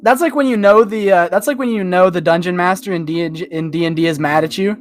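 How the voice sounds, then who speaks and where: A podcast panelist chatting casually and jokingly, telling animated hypotheticals and acting out little dialogues. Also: mid-range voice, quick pace, faint music behind. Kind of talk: casual, joking group chat.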